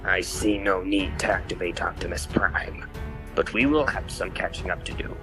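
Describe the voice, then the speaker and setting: A man voicing a grave heroic robot strategist, speaking in a deliberate, formal way for a comic dub. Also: low-pitched, music in the background. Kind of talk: dramatic comic-dub voice acting.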